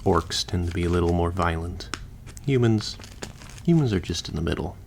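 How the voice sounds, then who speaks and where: A man's voice: sits low, lively intonation, normal speed, creaky, darkish tone, slight background noise. A man playing a chatty, easygoing surgeon who patches up the undead, explaining casually as he works in a listener roleplay.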